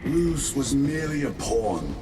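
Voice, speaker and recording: An actor playing a stern, resolute lieutenant of space soldiers, terse and commanding, in a dramatic video game. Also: male voice, medium pitch, sing-song, measured pace, music in the background. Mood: grim, resolute.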